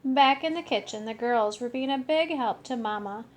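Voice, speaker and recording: A woman reading a children's picture book aloud expressively, deliberately stressing key words. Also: fairly high pitch. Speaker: story reader.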